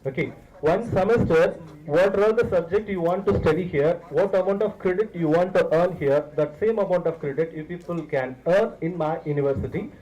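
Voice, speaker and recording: Higher-pitched Indian-accented man addressing students. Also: normal speed, darkish tone, highs cut off. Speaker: visiting university director of international programs.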